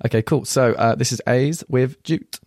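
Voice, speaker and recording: A man's voice: medium pitch, moderate pace, a little mellow, no room sound, studio quality. A man interviewing a poet, asking loose, friendly questions with many fillers.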